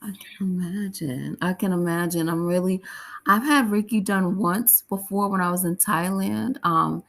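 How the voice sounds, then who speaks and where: A woman's voice: on the low side, fairly even intonation, normal speed, vocal fry, fairly bright tone. A woman hosting an interview show, chatty and upbeat, laughing often as she asks her questions. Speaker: podcast host and interviewer.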